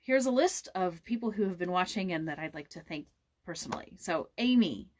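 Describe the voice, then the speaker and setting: A woman's voice: on the low side, lively intonation, fairly quick, a little mellow, a little hiss or hum. A woman chatting casually as host and narrator of a read-aloud, informal and thinking aloud as she goes.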